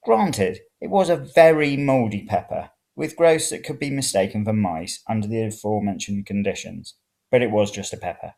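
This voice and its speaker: A man's voice: lowish voice, lively intonation, moderate pace, vocal fry, a little mellow. A man reading a first-person story aloud. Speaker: reader of serial fiction.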